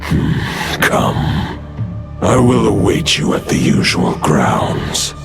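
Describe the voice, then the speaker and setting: A man's voice: big pitch swings, heavily acted. A man voicing an ancient wolf god who speaks in a grave, protective, commanding way. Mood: solemn. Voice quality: deep gravelly growl. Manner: slow, solemn, commanding. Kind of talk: video game voice acting. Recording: game audio over loud music.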